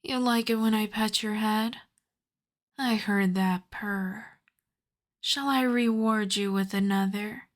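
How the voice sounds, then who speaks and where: A woman playing a seductive, possessive vampire countess in an ASMR roleplay, speaking softly and often slipping into whispers, with condescending tenderness. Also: medium pitch, fairly even intonation, natural voice. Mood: teasing, seductive. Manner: slow, crooning, condescendingly tender. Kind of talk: ASMR roleplay, acted monologue.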